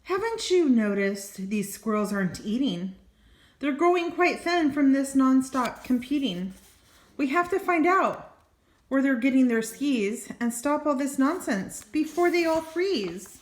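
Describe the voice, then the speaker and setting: A woman's voice: high voice, lively intonation, a little slow. An older woman voicing an urgent, insistent female reporter in a children's storybook. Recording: roomy, echoing home recording.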